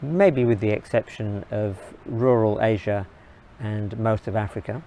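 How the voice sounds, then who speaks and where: A man from England, giving an earnest, measured opinion monologue in short, halting phrases. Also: on the low side, warm tone, a little hiss or hum, poor audio. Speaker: video blogger.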